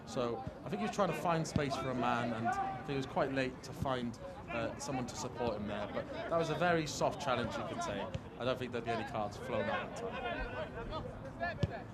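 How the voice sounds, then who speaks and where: A young man giving relaxed, explanatory colour commentary on a student football match. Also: medium pitch, normal speed. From Wales. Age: young adult. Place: outdoor football ground. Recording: live outdoor broadcast with heavy crowd. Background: very loud crowd noise.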